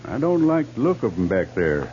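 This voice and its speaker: A seasoned frontier scout in an old radio western. His voice is deep, dark and mellow, with lively swings in folksy, plainspoken talk. It comes through a muffled old recording. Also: moderate pace, slight background noise. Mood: uneasy, thoughtful. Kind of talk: 1950s radio western acting.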